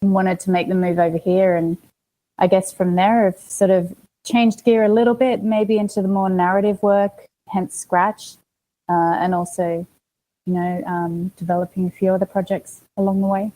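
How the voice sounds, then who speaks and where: A woman with an Australian accent chatting casually as an interview guest, often pausing mid-thought as she talks. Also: medium pitch, level tone, mellow, poor audio. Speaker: interview guest, actress and producer.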